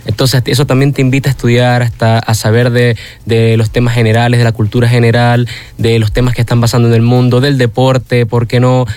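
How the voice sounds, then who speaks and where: A man's voice: medium pitch, completely flat delivery, brisk, hardly any pauses, darkish tone, clean tone, dry recording. A young Venezuelan man with a Venezuelan Spanish accent, a freestyle rapper, answering interview questions in an earnest, explanatory way. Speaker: freestyle rapper and workshop teacher.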